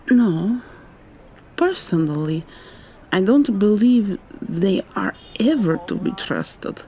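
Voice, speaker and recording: A woman playing an articulate, probing young female vampire student in an ASMR roleplay, soft-spoken and questioning, with noise in the recording. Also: medium pitch, low-quality recording. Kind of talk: ASMR roleplay, intimate conversational acting.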